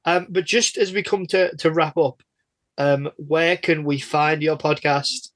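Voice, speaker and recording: A man's voice: on the high side, steady tone, measured pace, fairly bright tone. A man hosting a casual podcast interview, chatting in a rambling, jokey and self-deprecating way. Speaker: guest host and interviewer of a podcast.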